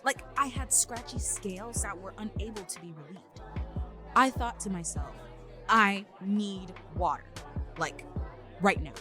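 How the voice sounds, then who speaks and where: A woman's voice: medium pitch, expressive, measured pace, lightly acted. Woman playing an anxious party girl, music behind. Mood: uneasy, dramatic. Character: anxious young woman turning into a mermaid at a party. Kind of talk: first-person horror short story reading.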